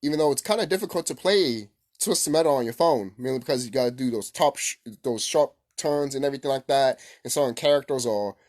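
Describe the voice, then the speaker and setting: A man hosting a casual, unscripted gaming vlog, rambling excitably, repeating himself and swearing casually. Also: mid-range voice, a little fast, few pauses. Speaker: gaming video host.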